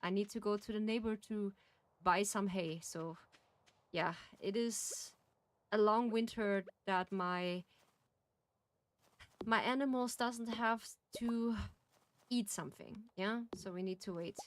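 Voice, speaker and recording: A woman's voice: medium pitch, slow, long pauses, a little hiss or hum. A woman with a German accent, chatting casually as she streams a farming game. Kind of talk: casual gameplay chat.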